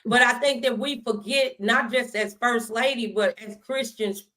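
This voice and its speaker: A woman's voice: on the high side, fairly even intonation, normal speed, fairly bright tone. A woman hosting a conversational faith talk interview, speaking in a folksy, emphatic way with preacherly asides. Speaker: talk show host.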